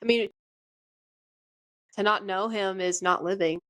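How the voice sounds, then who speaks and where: A woman in a band, answering an interview question about her faith in an earnest, hesitant way with many pauses and fillers. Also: medium pitch, steady tone, a bit bright, dry recording, low-quality recording. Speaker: band member and church worship team singer.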